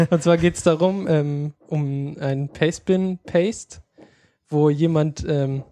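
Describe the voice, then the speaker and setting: A man's voice: fairly high pitch, fairly even intonation, slow pace, mellow, no room sound. A man co-hosting a casual podcast who rambles through his stories and laughs often. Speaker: podcast co-host. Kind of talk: casual chat.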